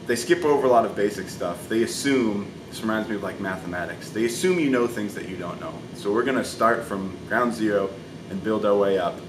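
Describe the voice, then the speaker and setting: A man in his thirties giving an earnest, informal explainer to camera as a science video host. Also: fairly low pitch. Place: indoor laboratory with large windows. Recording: echoey room, distant mic, clear ventilation noise.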